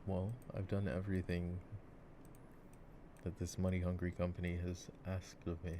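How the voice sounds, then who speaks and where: A man playing a goofy, soft-spoken gamer boyfriend who rambles with playful drama in an ASMR roleplay, over mouse clicks. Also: low voice, completely flat delivery, moderate pace, lightly acted. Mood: laid-back, playful. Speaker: ASMR voice actor. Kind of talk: improvised-sounding ASMR boyfriend roleplay while gaming. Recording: clear background noise, mouse clicks. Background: mouse clicks and game ambience.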